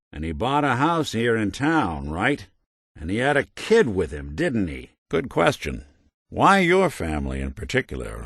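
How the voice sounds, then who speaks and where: An actor playing a world-weary but kind synthetic private detective in a video game, with a wry, deliberate noir delivery. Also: male voice, sits low, fairly bright tone, no echo, studio quality, exaggerated voice. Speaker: companion character in a video game. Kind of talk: hard-boiled noir detective game dialogue.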